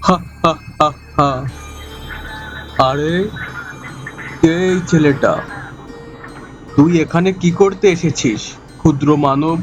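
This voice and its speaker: A slow, high-voiced male demon, acted by a man for a fairy-tale cartoon. He is an arrogant, menacing bully who draws out his words, with clear music playing underneath. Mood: gloating, scornful. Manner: taunting, slow, drawn-out boasting.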